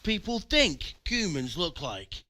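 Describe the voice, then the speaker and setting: An actor playing a blunt, casual medieval companion in a video game cutscene. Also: male voice, high-pitched, very animated, takes it slowly, pushed and bright, full character voice. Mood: amused. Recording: music behind the dialogue. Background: background music.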